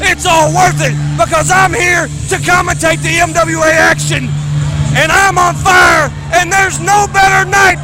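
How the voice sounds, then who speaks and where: Hoarse guy yelling wrestling calls, high strained voice, loud crowd and music behind. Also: steady tone, rough recording. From Kentucky. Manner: shouting, hyped, rapid play-by-play. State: losing his voice. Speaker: wrestling commentator. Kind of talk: excited play-by-play commentary. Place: indie wrestling event venue.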